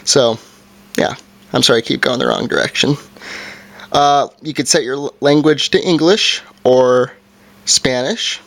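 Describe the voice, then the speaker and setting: A man giving a casual hands-on product review, talking in a halting way and correcting himself as he goes. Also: medium pitch, a bit bright.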